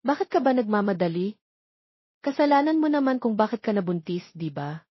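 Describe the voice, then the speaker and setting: Woman as a defensive young boyfriend, husky. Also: mid-range voice, very animated, brisk, no room sound, dull audio. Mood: irritated, defensive. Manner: cold, defensive, threatening. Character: defensive, cold young man torn between two women.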